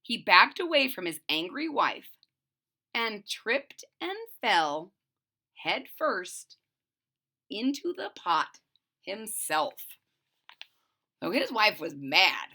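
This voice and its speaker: A woman storyteller reading a children's picture book aloud expressively, pausing to recap it in simple words. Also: mid-range voice, big pitch swings, bright, forceful tone.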